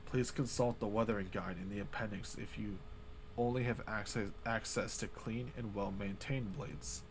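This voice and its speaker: A man voicing the unseen author of a grim ritual journal, reading slowly in a hushed, ominous low voice. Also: fairly even intonation, a little hiss or hum, close to an everyday voice.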